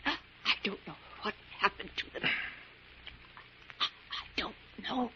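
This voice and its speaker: A woman's voice: mid-range voice, takes it slowly, sharp tone. An actress playing an indignant, frightened wealthy woman in a 1940s radio melodrama, with dramatic, emphatic delivery. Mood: terrified pleading. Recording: muffled, noisy 1940s radio recording.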